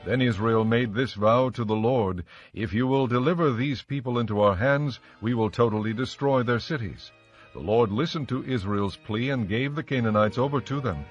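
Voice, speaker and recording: A man of medium pitch narrates scripture with solemn, measured delivery. His voice is slightly dull in the recording, with music behind it. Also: husky. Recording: slightly muffled studio track with music.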